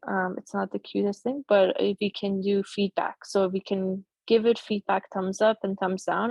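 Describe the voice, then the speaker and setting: A middle-aged woman whose first language is Persian, casually walking through a software demo on a video call with many fillers and restarts. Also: medium pitch, creaky, no echo. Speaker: presenter demoing a chatbot tool.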